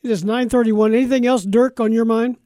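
Male radio host: mellow tone, courteous, orderly call handling and announcements, slightly hesitant explanations. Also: very high-pitched, steady tone, brisk, no room sound, studio quality. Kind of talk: live sports talk radio hosting.

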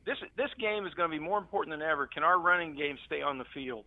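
Over a narrow phone line, an older American man calls in to talk sports. His voice sits a little high for a man and has a gravelly creak. He offers his opinions casually. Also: expressive, quick pace, dry recording. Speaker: radio show caller. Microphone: phone call.

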